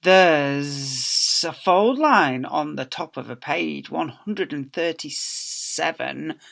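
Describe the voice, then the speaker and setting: A man voicing a severe, greedy elderly woman librarian in a children's storybook, drawing out faults slowly and snapping out verdicts. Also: on the high side, expressive, gravelly, fairly bright tone, exaggerated voice. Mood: severe, gloating. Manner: slow, drawn-out fault-finding, snapping out verdicts.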